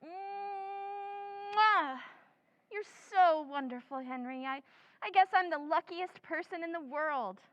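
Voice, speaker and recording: A woman's voice: very high-pitched, slow pace, no room sound. A woman playing a doting, clingy wife. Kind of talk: old-time radio drama recreation. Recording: dry, dull lo-fi sound.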